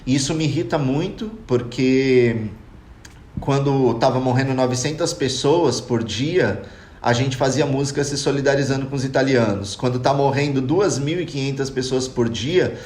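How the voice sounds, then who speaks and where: A man's voice: mid-range voice, moderate pace, a little mellow. Earnest Brazilian man in an echoey room. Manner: earnest, reflective, addresses listeners as "cara". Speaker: podcast guest living abroad. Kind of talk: casual chat. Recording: echoey room with faint noise.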